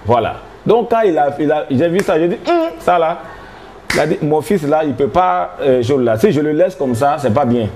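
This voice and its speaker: Man, 30s, Ivorian French accent, hesitant storyteller, slightly high voice, faint noise. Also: lively intonation, moderate pace, darkish tone. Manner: rambling, hesitant, laughing often. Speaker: traditional healer. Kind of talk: casual interview.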